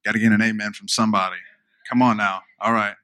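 A man preaching a conversational sermon, repeating phrases for emphasis, with a smooth radio-style voice. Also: lowish voice, level tone, quick pace, sharp tone, no echo, studio quality. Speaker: pastor.

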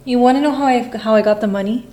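A woman's voice: a little high, fast talker, warm tone. A woman in her twenties hosting a casual podcast in a bubbly, informal, teasing way. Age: 20s. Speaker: podcast host.

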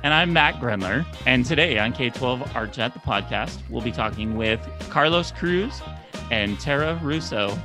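A man's voice: mid-range voice, animated, normal speed, over music. A man co-hosting a podcast, talking casually as he interviews guests. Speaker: podcast co-host.

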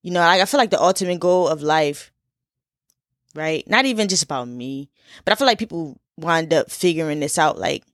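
A woman's voice: low voice, animated, moderate pace, a bit bright, dry recording, clean studio sound. A woman in her twenties from Philadelphia, hosting a casual solo podcast in a chatty, laid-back way with lots of fillers and repeats. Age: 20s. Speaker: podcast host.

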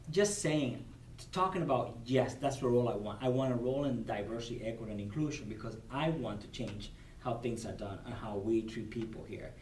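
A man's voice: medium pitch, a little mellow. A man from Cuba with a Cuban Spanish accent, Spanish as his first language, speaking earnestly as a guest on a podcast at a convention and often repeating words as he gathers his thoughts. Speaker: guest, corporate supplier diversity director. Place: convention venue. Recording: roomy, distant mic with faint noise.

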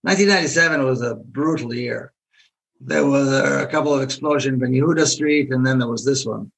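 A man's voice: mid-range voice, a little fast, creaky, fairly bright tone, poor audio. A middle-aged man from Israel, an author talking as a guest in a podcast interview, reasoning aloud with frequent restarts. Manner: thoughtful, repeats and restarts while reasoning. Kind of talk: podcast interview, earnest explaining.

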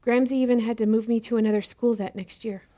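A woman's voice: a little high, fairly even intonation, quick pace, dark tone, natural voice. An actress playing a hesitant, anxious young female student in an audio drama, tentative and deferential. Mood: reluctant, uneasy. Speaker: actor in a fiction podcast.

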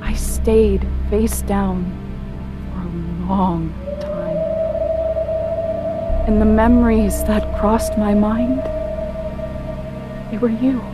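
An actress playing a woman survivor of an invasion, narrating poetically and deliberately in audio theatre. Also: medium pitch, very animated, loud music behind the voice. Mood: somber. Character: Izzy, woman survivor fleeing by boat, awed narrator. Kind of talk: dramatic first-person audio theatre narration.

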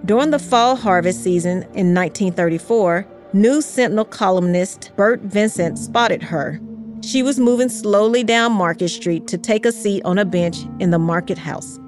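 A woman's voice: mid-range voice, moderate pace, a little mellow, soft background music. Woman narrating a scripted history story. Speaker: podcast narrator.

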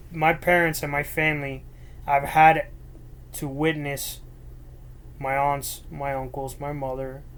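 A young man from Miami whose first language is Spanish, telling a personal story alone in his bedroom in an earnest, rambling way. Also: fairly high pitch. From Miami, United States. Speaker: podcast host. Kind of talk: solo personal storytelling monologue. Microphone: laptop microphone.

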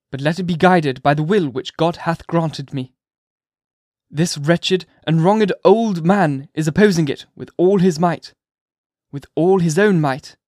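A man's voice: a little high, no echo, clean studio sound, pitched above the performer's own voice. A man voicing a frail, anguished minister who pleads in a raised, impassioned voice, reading an old novel aloud. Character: frail anguished male minister, pious and fervent.

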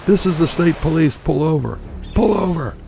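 A man acts out an enraged male state trooper in a comic story. He bellows officious orders with menace, his pitch lifted and swinging widely. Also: expressive, moderate pace, faint music behind, close to an everyday voice. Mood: barking commands. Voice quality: loud bellowing.

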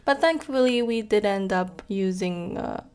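Twenties woman from Indonesia, podcast co-host, friendly chatty delivery with fillers and soft laughs. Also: medium pitch, a little slow, slight background noise. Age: 20s. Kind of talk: casual chat.

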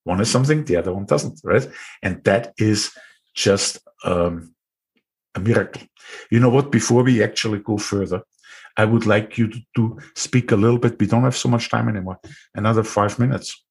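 Austrian man interviewing, low husky voice, reflective, repeats himself for emphasis. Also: darkish tone. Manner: musing, repeats phrases, drawn-out emphasis. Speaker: host.

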